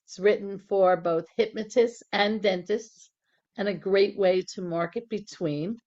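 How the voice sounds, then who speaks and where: A woman's voice: mid-range voice, unhurried, darkish tone. A woman on a video call speaking up to promote a book, upbeat. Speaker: meeting participant. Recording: dull lo-fi mic from a distance.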